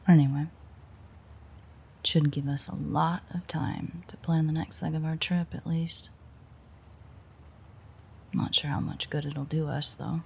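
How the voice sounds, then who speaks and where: A woman playing a weary, sardonic, teasing female vampire in an intimate audio roleplay, with a breathy, husky voice that often drops near a whisper and a dry, sarcastic way of talking. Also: low voice, level tone, measured pace, long pauses, a little mellow, slight background noise. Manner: dry, rambling, sarcastic banter.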